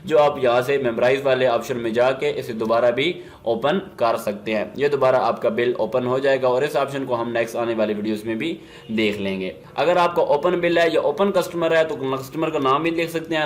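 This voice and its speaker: A man with a Pakistani accent presenting an instructional software demo, explaining step by step and mixing in English business terms. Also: medium pitch, fairly even intonation, fairly quick.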